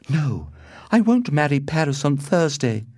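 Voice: medium pitch, big pitch swings, a little slow, darkish tone, dry recording, studio quality, pitched above the performer's own voice. A performer voicing a lovesick, distressed young noble daughter in a storybook reading, earnest and pleading. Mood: unhappy, defiant.

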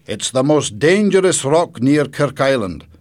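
A male narrator plays an old grandfather from a storybook, kindly and proud with a touch of sadness. His delivery is emphatic and deliberate, with lively rises in pitch. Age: older. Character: kindly older Scottish grandfather, proud and a little sad.

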